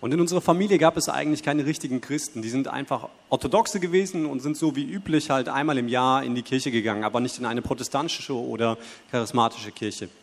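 Brisk male church interpreter in reverberant hall. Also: medium pitch. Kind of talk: consecutive interpreting into German. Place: church hall before a congregation.

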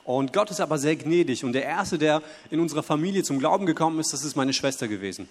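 A man's voice: a little high, expressive, fast talker. A man interpreting aloud in a church, delivering the message phrase by phrase and sometimes correcting himself. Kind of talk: consecutive interpreting into German. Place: church hall before a congregation. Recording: long hall reverb.

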